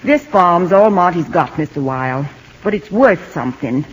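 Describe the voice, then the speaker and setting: An actress playing a stern, plain-spoken, middle-aged farm widow, folksy and dry, in a 1950s radio drama. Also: female voice, lowish voice, animated, moderate pace. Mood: wary. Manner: folksy, dry, terse, skeptical. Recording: dull old radio broadcast recording.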